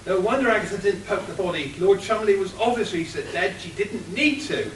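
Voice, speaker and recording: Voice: medium pitch, a little fast, close to an everyday voice. A performer voicing a scornful, exasperated villainous mother in a comic radio play, scolding in manner. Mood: scornful, menacing. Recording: echoey room, distant mic, faint noise.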